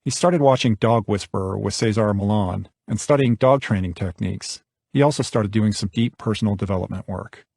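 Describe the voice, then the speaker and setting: A man's voice: on the low side, a little fast, vocal fry, darkish tone, no room sound, studio quality. A man narrating a nonfiction audiobook from a script.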